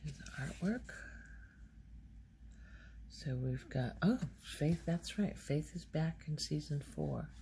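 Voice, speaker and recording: A woman speaking softly in an ASMR ramble, breathy and near a whisper at times, musing hesitantly as she thinks aloud. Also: deep voice, lively intonation, slow pace, long pauses, a little hiss or hum, rough recording. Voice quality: soft, breathy, often slipping toward a whisper. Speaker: ASMR video creator. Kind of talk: soft-spoken ASMR ramble.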